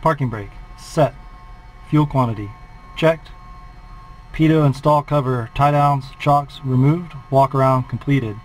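A man's voice: mid-range voice, a little slow, rough recording. Man, forties, flight instructor, clipped checklist callouts, headset mic, faint hum. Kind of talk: reciting a cockpit checklist. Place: small aircraft cockpit.

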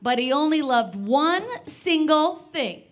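A woman's voice: high voice, animated, takes it slowly. A middle-aged woman presenting live, playful and chatty as she introduces and reads poetry to children. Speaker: live radio show presenter. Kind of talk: introducing and reading a poem to children. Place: large library event room with audience.

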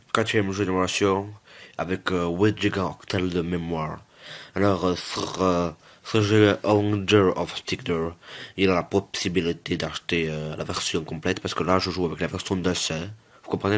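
A man giving casual, rambling gameplay commentary as a video host, repeating himself and digressing jokingly. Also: deep voice.

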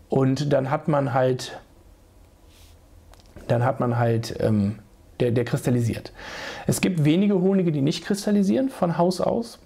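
Hoarse, gravelly man with a cold. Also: a little high, mellow. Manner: chatty, restarts sentences, addresses viewers directly. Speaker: beekeeper. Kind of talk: casual how-to video. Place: small home room.

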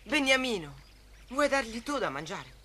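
A performer playing a kind, innocent adolescent boy with an earnest, reassuring manner in an animated Bible story. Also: mid-range voice, moderate pace, a little hiss or hum. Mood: gentle, playful. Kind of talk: dubbed Italian animated cartoon acting.

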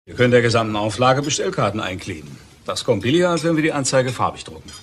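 A man's voice: medium pitch, sing-song, quick pace, slight background noise, close to an everyday voice. An actor playing a controlled, clipped businessman and husband in a German radio crime drama. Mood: businesslike.

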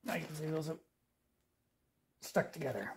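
A middle-aged man from Canada, hosting a do-it-yourself channel, explaining a hands-on repair in a relaxed, plain-spoken way while he works in a garage workshop. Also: a little high, normal speed, slightly rough. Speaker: DIY channel host. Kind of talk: hands-on how-to demonstration.

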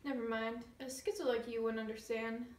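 A woman's voice: on the high side, level tone, brisk, natural voice. A woman playing a vain, scheming evil queen, sweetly menacing and coldly dismissive, in an amateur fairy tale comedy skit. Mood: dismissive, impatient. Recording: echoey room, distant mic, faint noise.

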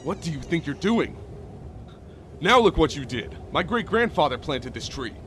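A man's voice: a little high, sing-song, normal speed, fairly bright tone, a little hiss or hum. A man playing an indignant property owner in a radio drama, scolding and hollering. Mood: outraged.

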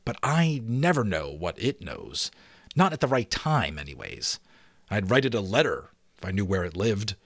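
Brooding magician, read by a man: wry, unsure of himself, talking inwardly, expressive pitch, dry sound. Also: normal speed, dry recording, full character voice. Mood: rueful. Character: brooding, self-doubting male magician.

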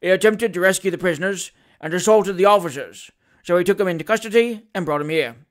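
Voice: medium pitch, fast, lightly acted. A storybook reader voicing a deferential, officious male constable who is eager to please his master.

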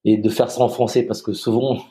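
A man's voice: fairly low pitch, a little fast. A man in his forties from Madagascar, a retired soldier, talking in an easygoing way as a podcast guest over a video call. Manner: relaxed, easygoing, repeats words as he starts. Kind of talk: casual interview chat. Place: home room, video call.

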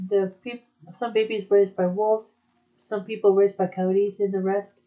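A woman with a fairly high voice chats in a casual, conversational way. The recording is slightly dull and lo-fi. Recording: dull, muffled lo-fi audio.

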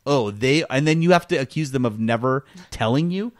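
A man's voice: medium pitch, fairly quick. Casual male gaming podcast co-host. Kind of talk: casual joking gaming chat.

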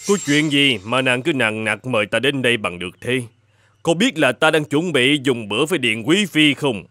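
An actor playing a self-important, lovestruck middle-aged emperor in an animated fairy tale, stately and doting in manner. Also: male voice, medium pitch, moderate pace, slight background noise, close to an everyday voice. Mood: impatient. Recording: loud music behind the voice.

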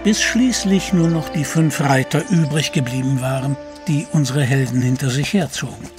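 A man's voice: mid-range voice, normal speed, over music. A man narrating an adventure audio drama with dramatic storytelling delivery.

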